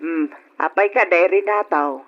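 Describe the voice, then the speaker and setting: A woman's voice: fairly low pitch, unhurried, soft background music. A woman acting a worried, opinionated elderly wife and mother who complains and argues in an old radio drama. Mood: firm. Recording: dull lo-fi old radio recording.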